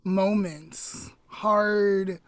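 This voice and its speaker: A woman hosting a casual podcast conversation, thoughtful and halting as she searches for words. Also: mid-range voice, fairly even intonation, dry recording. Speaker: podcast host.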